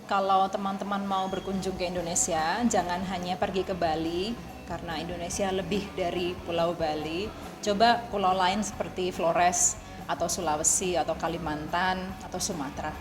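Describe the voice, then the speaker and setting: A woman explaining things clearly and in a measured way for language learners. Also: fairly low pitch, fairly even intonation, over music. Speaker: video host.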